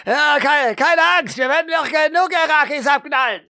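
A man playing a brash, foul-mouthed army door gunner in an audio drama, shouting with crude swagger. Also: very high voice, normal speed, no room sound, studio quality, voice pushed up, full character voice. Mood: gruff bravado.

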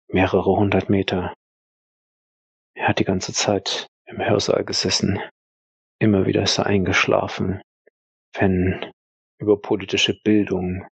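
A man narrating an audio drama in a dramatic scripted style, husky and gravelly, with terse, weighty phrases and pauses. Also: on the low side, dry recording, poor audio.

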